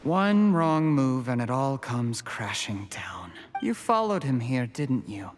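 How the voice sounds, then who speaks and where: Scheming young man, animated delivery. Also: mid-range voice, slow pace, soft background music. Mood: desperate, pleading. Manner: earnest, defensive, dramatic. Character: scheming, ambitious young man pleading his case. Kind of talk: scripted video game voice acting.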